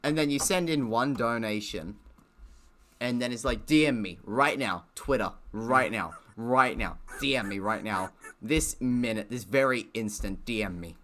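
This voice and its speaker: A man's voice: medium pitch, unhurried, fairly bright tone, slight background noise. A man streaming a game, joking and swearing casually as he comments and reads its text aloud. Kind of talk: casual gaming commentary, reading visual novel text aloud.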